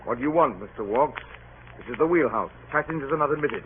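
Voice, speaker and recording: An actor playing a stern, weary ship's captain in an old radio drama, speaking in a measured, formal way. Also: male voice, mid-range voice, animated, lightly acted. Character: stern, weary male ship's captain telling his tale. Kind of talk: 1940s radio drama acting and narration. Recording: muffled old radio broadcast, clear hiss.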